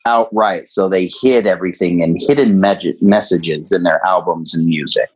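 A man in a casual group discussion, explaining slowly and drawing out his words. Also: deep voice, slightly rough, poor audio.

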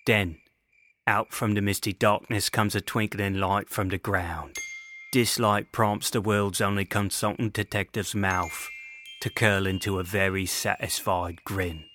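A man's voice: lowish voice, steady tone, unhurried, a little hiss or hum. A man giving florid, dramatic scripted narration for an audio drama. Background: loud music.

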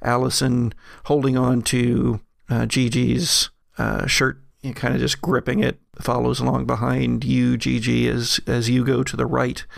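Guy running a spooky tabletop game, slow halting storyteller, crackly voice, dry close sound. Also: medium pitch, monotone, gravelly, no echo. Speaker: game master. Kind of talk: narrating a horror tabletop game.

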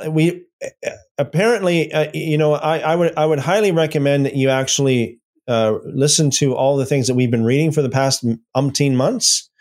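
Rambling man teaching the Bible. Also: medium pitch. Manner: rambling, many restarts and fillers. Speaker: livestream Bible teacher answering comments. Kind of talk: casual livestream Bible talk.

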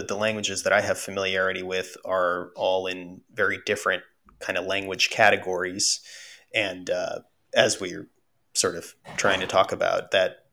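A man's voice: sits low, vocal fry, pushed and bright. A podcast co-host, a man who grew up speaking Russian, thinking aloud in casual conversation with many fillers.

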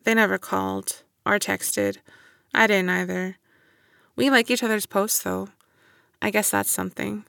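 A woman's voice: medium pitch, steady tone, measured pace, creaky, fairly bright tone, no room sound, clean studio sound. A woman acting in an intimate audio drama as a guarded, wistful young woman back home after years away. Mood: reflective.

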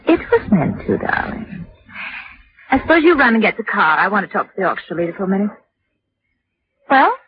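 A woman's voice: medium pitch, big pitch swings, fairly quick, pauses often, a little hiss or hum, natural voice. An actress playing a cool, dismissive married woman in 1940s radio drama, haughty and condescending. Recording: dull vintage radio recording, faint music.

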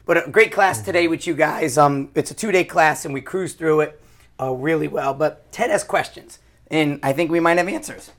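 Hoarse male podcast host, raised pitch, casual and animated. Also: normal speed. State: hoarse, losing his voice. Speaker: podcast host and rifle instructor. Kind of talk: casual interview answers.

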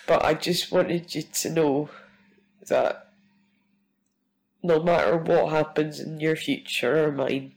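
Woman, has a cold, rough voice, slow, heartfelt, swears a lot. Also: low voice, fairly even intonation, darkish tone, low-quality recording. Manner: heartfelt, sweary, laughing through tears. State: full of a cold, rough-sounding. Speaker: listener sending a voice message. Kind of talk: recorded voice message to a host.

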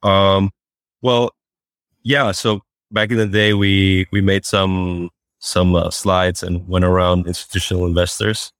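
A man being interviewed as a startup founder, answering in a halting way with fillers and restarts. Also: low voice, flat delivery, fairly bright tone, no room sound. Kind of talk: remote interview. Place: Fort Worth, Texas.

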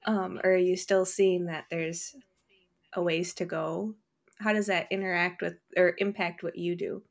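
A woman co-hosting a podcast, asking questions in a measured, encouraging way. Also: lowish voice, little pitch movement. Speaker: podcast co-host. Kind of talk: interview questions.

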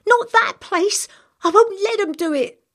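A woman acts out an eager young girl from a storybook. The voice sits high, far above a grown narrator's, and is earnest and curious. It has a passionate, openhearted urgency. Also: a little slow, fairly bright tone. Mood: frantic, pleading.

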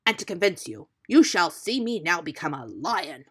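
Woman reading as a brisk, boastful storybook ogre. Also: fairly low pitch, sing-song, a bit bright, slightly rough, heavily acted. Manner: brisk, emphatic. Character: proud, boastful male ogre.

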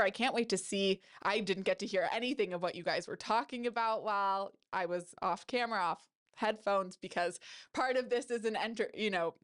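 A woman podcast host with a stuffy nose, reading a story aloud and chatting effusively with her guests. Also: medium pitch. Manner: effusive, upbeat, laughs often. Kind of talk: reading a story aloud, then casual chat.

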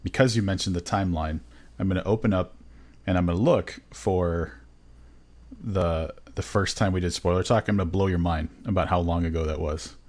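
A man's voice: sits low, fairly even intonation, brisk, a little mellow. A man co-hosting a book podcast, talking casually with many fillers and hesitations. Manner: hesitant, filler-heavy, enthusiastic. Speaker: podcast co-host.

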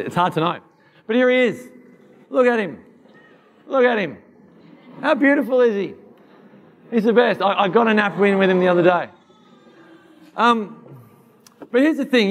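A hoarse man in his thirties giving a fast, animated motivational talk to an audience. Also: high voice. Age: 30s. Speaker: keynote speaker.

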